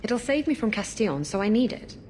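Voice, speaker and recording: A woman's voice: a little high, very animated, fairly quick, a little mellow, a little hiss or hum. A woman playing a brash, evasive female pirate rogue in a video game, with a glib, wheedling, flippant manner.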